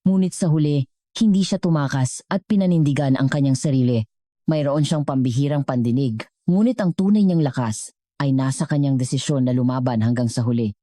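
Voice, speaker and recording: A woman's voice: extremely low pitch, a little fast, dark tone, dry recording, clean studio sound. A woman narrating a scripted dramatic story as a storyteller.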